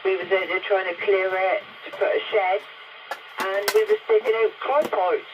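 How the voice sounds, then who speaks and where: A woman's voice: medium pitch, fairly even intonation, normal speed. A woman, chatting in a plain, colloquial way over CB radio. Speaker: CB radio operator. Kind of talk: casual radio chat. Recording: dull, very noisy CB radio link.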